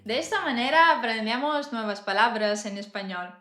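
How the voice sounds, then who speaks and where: A woman's voice: a little high, lively intonation, normal speed, fairly bright tone. A woman in her thirties chatting casually to camera as a vlogger, laughing often between hesitant fillers. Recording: roomy echo, faint music behind.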